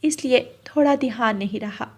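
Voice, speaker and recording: Lady doing a sweet polite girl bird for a kids cartoon, high pitched, earnest n pleading. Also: moderate pace, a little mellow, breathy edge. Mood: apologetic. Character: gentle hardworking young female bird, pleading and earnest.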